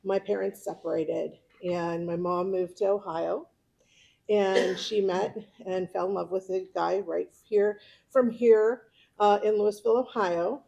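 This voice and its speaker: A middle-aged American woman speaks informally, as a club member giving a personal talk. Her voice has a noticeable creak at an ordinary pitch, and her manner is chatty. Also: fairly even intonation, unhurried, dark tone, rough recording. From California, United States. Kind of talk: informal autobiographical speech.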